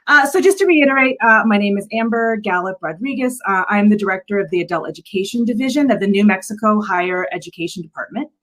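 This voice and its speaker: A woman's voice: medium pitch, expressive, quick pace, few pauses, a bit bright, low-quality recording. A woman giving an earnest slide presentation on a video call as a director, with a hesitant delivery. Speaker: adult education director presenting. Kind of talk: virtual slide presentation to an audience. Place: virtual event on video call.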